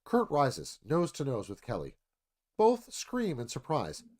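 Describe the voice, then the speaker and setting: A man's voice: mid-range voice, huge pitch swings, measured pace, darkish tone. A man reading screenplay stage directions aloud as scripted narration.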